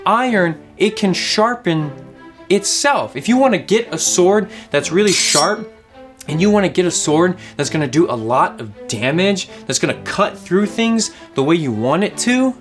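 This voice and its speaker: Animated young man teaching kids. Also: high-pitched, measured pace, faint music behind. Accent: Southern US. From Louisiana. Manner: animated, playful, asks questions. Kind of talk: kids object lesson.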